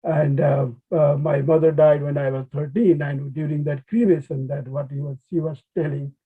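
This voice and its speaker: A man with a Nepali accent lectures informally in a husky, fairly high voice. His phrasing is halting, with many restarts. The sound is thin and narrow, like a phone line on an online call. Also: little pitch movement. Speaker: conservation biologist, webinar speaker. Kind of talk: informal academic webinar talk. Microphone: video call.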